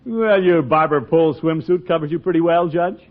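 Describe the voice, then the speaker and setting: An actor playing a pompous, blustering middle-aged uncle in a 1940s radio comedy, theatrical and easily flustered. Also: male voice, fairly high pitch, a little fast, lightly acted. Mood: smug, amused. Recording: muffled 1940s radio recording.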